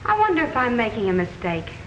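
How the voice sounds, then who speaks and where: A sassy young fiancée. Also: female voice, fairly high pitch, very animated, fast talker, a little hiss or hum. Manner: sassy, dry. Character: sharp-tongued young woman, the detective's fiancée. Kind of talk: 1940s radio acting. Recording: dull old radio recording.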